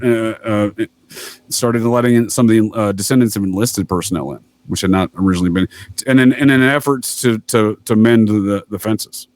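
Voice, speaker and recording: A man's voice: mid-range voice, darkish tone, dry recording. A man speaking as a podcast guest, laying out theories assertively in conversation. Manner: assertive, addresses listener as "sir". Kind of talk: conspiracy-theory talk in a podcast interview.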